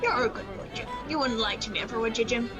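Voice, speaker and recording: A woman playing a gruff, suspicious old seaman captain in a radio play, with salty sailor talk and a bossy manner. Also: a little high, quick pace, over loud music, lightly acted. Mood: wary, insistent.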